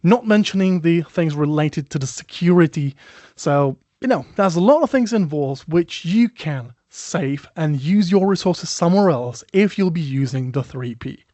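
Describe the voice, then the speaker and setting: A man's voice: on the high side, expressive, moderate pace, mellow, dry recording. A man who is not a native English speaker, giving a chatty, self-deprecating webinar presentation over a video call, often saying "you know". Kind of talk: conversational product presentation.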